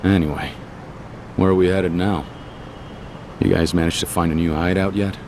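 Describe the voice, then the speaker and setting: A man acting a stoic, gruff young yakuza on the run in an English video game dub, speaking in terse, blunt, guarded lines. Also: fairly even intonation, moderate pace, a little mellow, slight background noise, natural voice. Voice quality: very deep, low voice.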